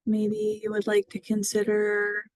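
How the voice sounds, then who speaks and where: A woman guiding a mindfulness body scan meditation, speaking gently and soothingly with long pauses. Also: mid-range voice, monotone, no echo. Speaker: mindfulness guide.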